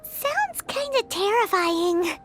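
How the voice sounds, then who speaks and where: Casting: tiny excitable game fairy sidekick, very high squeaky child voice, hugely animated delivery, music underneath. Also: female voice, slow pace, full character voice. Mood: wary. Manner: exclamatory, refers to self in third person. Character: small childlike floating fairy companion, excitable and wary. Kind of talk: video game cutscene acting.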